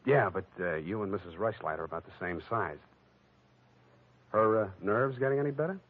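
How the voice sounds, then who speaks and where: A man playing a tough, wisecracking private detective with a dry, deadpan manner in a 1940s radio drama. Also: lowish voice, moderate pace, natural voice. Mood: dry, teasing. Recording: muffled old radio recording.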